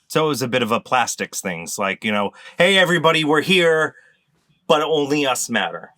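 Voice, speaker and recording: A man's voice: mid-range voice, lively intonation, normal speed, bright, forceful tone, dry recording, clean studio sound. Rambling, self-mocking man. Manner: rambling, self-mocking, laughs at own slips. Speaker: quiz presenter on a comedy game podcast. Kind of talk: casual comedic chat.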